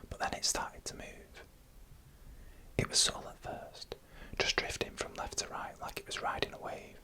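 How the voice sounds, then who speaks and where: A narrator telling stories in a soft, unhurried ASMR whisper, close to the microphone. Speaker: narrator of scary UFO encounter stories. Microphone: close microphone for headphone listening.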